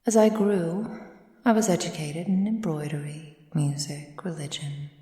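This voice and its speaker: Woman acting as an ancient female vampire, low-pitched, imperious, composed, breathy near-whisper, formal ASMR roleplay, long echoing reverb. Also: dark tone. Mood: wistful, reserved. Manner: slow, formal, measured, imperious. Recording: roomy sound with long reverb.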